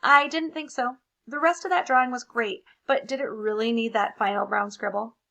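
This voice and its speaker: A woman's voice: on the high side, normal speed, gravelly, sharp tone. A woman voicing an embarrassed, resentful brown crayon, accusing and sarcastic, in a storybook.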